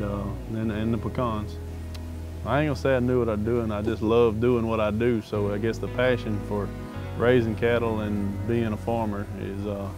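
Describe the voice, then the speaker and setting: Folksy Southern man, low voice, music behind. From Arkansas, United States. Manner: folksy, plainspoken. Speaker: farmer. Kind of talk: casual interview storytelling. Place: outdoors in an open pasture.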